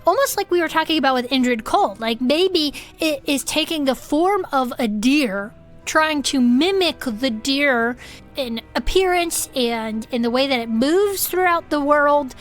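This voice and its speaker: Chatty adventurer cryptid-club host, high voice, conversational, playfully spooked storytelling for comedic audio fiction. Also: female voice, animated, measured pace, soft background music. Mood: intrigued, spooked. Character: Herb, chatty adventurer woman hosting a cryptid club, theorizing.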